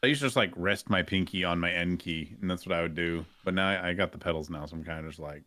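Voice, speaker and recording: Low-voiced man chatting casually. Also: quick pace, sharp tone. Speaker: guest gaming streamer. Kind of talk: casual chat.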